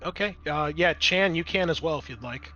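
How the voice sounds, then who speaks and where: Bright-voiced male game master, slightly muffled audio. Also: a little high, moderate pace, a little hiss or hum. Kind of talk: improvised tabletop game narration and rules talk.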